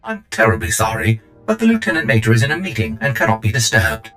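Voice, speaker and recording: A man's voice: fairly low pitch, very animated, fast talker, pushed and bright, soft background music, heavily acted. A man playing a fussy, polite receptionist droid in a video game, speaking formally and obsequiously. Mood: unctuous politeness. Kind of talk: video game cutscene acting.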